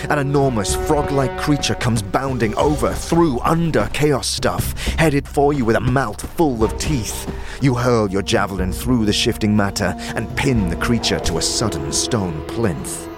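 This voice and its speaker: A man's voice: mid-range voice, unhurried, music in the background. A man narrating a fantasy audio drama in a dramatic, scripted storytelling style.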